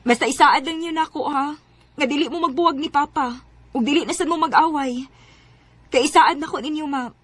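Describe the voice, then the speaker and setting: A woman with a Cebuano accent playing a gentle, self-sacrificing young daughter in a radio drama, pleading yet determined. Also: sits high, a little fast, no echo. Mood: reassuring, resolute. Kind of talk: Filipino radio drama acting.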